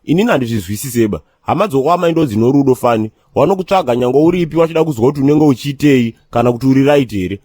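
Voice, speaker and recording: A man voicing a cantankerous, streetwise character who rants and rambles argumentatively in slang in an animated comedy. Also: mid-range voice, very fast, few pauses, no echo, natural voice. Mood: ranting. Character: cantankerous, streetwise man ranting comically about his family.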